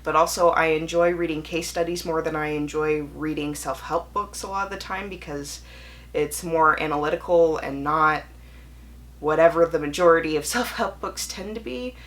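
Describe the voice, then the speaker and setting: A young woman with a fairly low-pitched voice talks as a book reviewer hosting her own video. Her delivery is casual and conversational, candid and chatty, and she corrects herself as she thinks aloud. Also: normal speed, fairly bright tone. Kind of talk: casual chatty vlog monologue.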